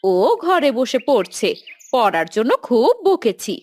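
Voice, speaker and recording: A woman's voice: a little high, big pitch swings, unhurried, darkish tone. A woman playing a practical, admonishing village wife and mother in a cartoon family drama.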